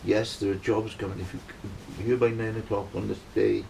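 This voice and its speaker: A man's voice: lowish voice, little pitch movement, fairly quick, gravelly, darkish tone, a little hiss or hum, low-quality recording. A middle-aged Welsh man telling a long personal story in a casual, rambling way, checking in with his listeners as he goes. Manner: rambling, keeps checking in with "right?". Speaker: band member telling a story.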